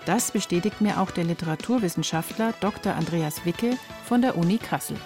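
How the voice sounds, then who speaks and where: A middle-aged woman narrates a scripted radio feature. Her voice sits a little low, and faint music plays behind her. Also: brisk. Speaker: reporter and narrator.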